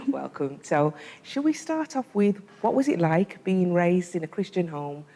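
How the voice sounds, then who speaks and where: Low gravelly woman hosting an interview. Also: animated, unhurried. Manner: warm affirming listener, frequent short "yeah"s. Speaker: host. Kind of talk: casual interview. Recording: long reverb, faint noise.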